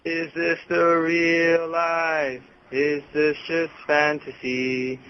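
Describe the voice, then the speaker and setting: A man's voice: on the high side, completely flat delivery, very slow, no echo. A man chatting casually as a caller on a phone line. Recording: dull phone line, faint noise.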